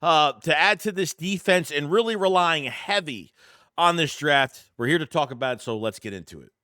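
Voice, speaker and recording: A man's voice: a little high, moderate pace, pushed and bright, dry recording, clean studio sound. A man hosting a casual sports news vlog, a little congested and under the weather, rambling through the news with fillers and restarts. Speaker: sports channel host.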